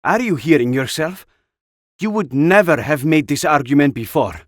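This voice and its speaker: Man voicing stern, weary older woman, pitched up. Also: animated, moderate pace, no room sound, clean studio sound, natural voice. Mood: heated, disapproving. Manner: earnest, disapproving. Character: middle-aged woman, weary, stern, principled veteran sniper.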